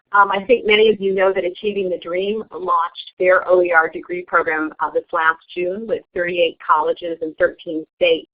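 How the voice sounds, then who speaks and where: A woman hosting an online meeting, presenting with frequent fillers and restarts. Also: lowish voice. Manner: hesitant, many fillers. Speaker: webinar host. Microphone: web conference audio. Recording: tinny phone-like line.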